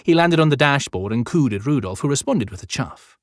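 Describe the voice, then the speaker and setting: Guy narrating an audiobook, really lively intonation, mid pitch. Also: a little fast, dry recording, studio quality. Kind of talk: scripted fiction narration.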